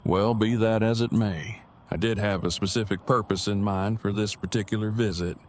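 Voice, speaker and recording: Man playing an awkward, wordy, low-voiced survivor. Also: steady tone, normal speed, darkish tone, a little hiss or hum, lightly acted. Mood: composed. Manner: formal, wordy, stilted phrasing. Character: awkward, earnest, verbose male survivor in a zombie apocalypse. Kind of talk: audio drama acting.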